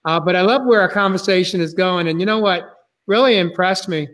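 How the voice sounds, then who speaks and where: Host, man in his 50s, New England accent, high-pitched, rambling prompts over a webcam call. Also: fairly quick. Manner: rambling, filler-heavy, warm prompting. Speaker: podcast host. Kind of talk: casual video-call interview. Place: home room, indoors. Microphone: video call webcam.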